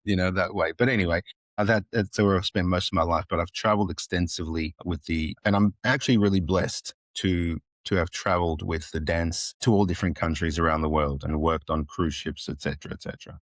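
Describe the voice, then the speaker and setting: A man's voice: sits low, fairly even intonation, a little fast, no room sound, studio quality. A man in his fifties from Moldova with an Australian accent, a guest chatting casually, rambling and joking with many restarts. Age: 50s. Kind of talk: casual interview chat.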